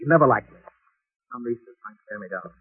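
An actor playing an obsessed, resentful professor in a 1940s radio drama, on an old, tinny broadcast. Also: male voice, mid-range voice, animated, fast talker, breathy edge. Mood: agitated. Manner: agitated, insistent. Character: obsessed middle-aged male professor, resentful and unstable.

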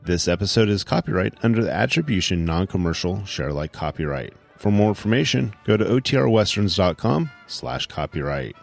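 A man's voice: sits low, very animated, fairly quick, vocal fry, few pauses, soft background music. A man reading a scripted outro as a podcast host.

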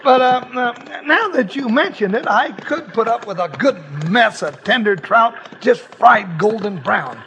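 Jingles, a jovial high-voiced sidekick. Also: male voice, unhurried, few pauses, in a large hall, full character voice. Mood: cheerful. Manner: excitable country drawl with chuckles. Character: folksy, jovial male deputy and sidekick. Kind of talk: 1950s radio acting. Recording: hissy old radio transcription.

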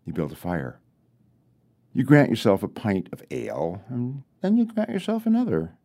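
Mellow, expressive male author reading fiction. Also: fairly low pitch. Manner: deliberate, dramatic pauses. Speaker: author reading own story. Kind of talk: literary storytelling, scripted narration.

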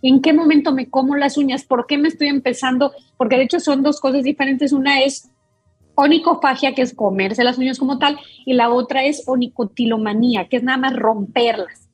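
Husky-voiced woman doctor. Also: sits high, steady tone, a little fast, no room sound. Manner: explains patiently, with many fillers and restarts. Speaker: doctor, guest expert. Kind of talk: explanatory talk show interview.

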